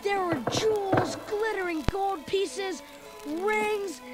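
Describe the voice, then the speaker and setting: High-voiced woman reading a storybook. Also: slow pace. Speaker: narrator. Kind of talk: storybook read-along narration. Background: music.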